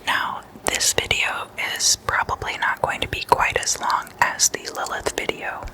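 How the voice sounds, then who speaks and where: Casting: ASMR host, whispered voice, slow pace, chatty and intimate sleepover style. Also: low-quality recording. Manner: intimate, addressing the listener as a guest. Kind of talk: whispered ASMR, casual chatty slumber-party role-play.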